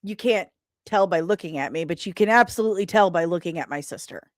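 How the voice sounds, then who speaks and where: A woman hosting a casual livestream, talking in an animated, rambling and self-deprecating way. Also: fairly low pitch, fast talker, no echo, clean studio sound. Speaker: livestream host.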